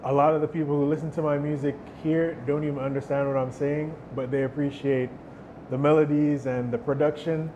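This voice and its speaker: A young man, a musician, giving relaxed, thoughtful interview answers and often restarting his words. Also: a little high, a little fast, slight background noise. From Jamaica. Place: outdoor stage.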